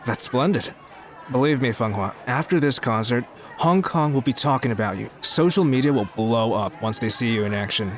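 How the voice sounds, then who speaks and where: A man playing a boastful, self-important showbiz mogul in an audio drama, smooth-talking as he courts. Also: medium pitch, normal speed, some chatter behind, close to an everyday voice. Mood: grandiose.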